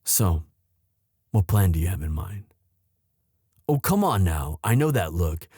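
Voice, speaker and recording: A man playing an anxious, protective young prince in love, speaking earnestly and haltingly in a romantic roleplay audio drama. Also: sits low, sing-song, dark tone, breathy edge, dry recording, clean studio sound, heavily acted. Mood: tender, resigned. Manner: earnest, halting, tender delivery.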